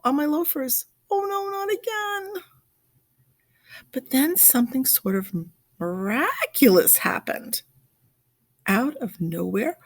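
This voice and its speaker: High-pitched, animated woman reading a storybook. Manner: dramatic pauses and drawn-out emphasized words. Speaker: children's storybook reader. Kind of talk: expressive read-aloud of a picture book.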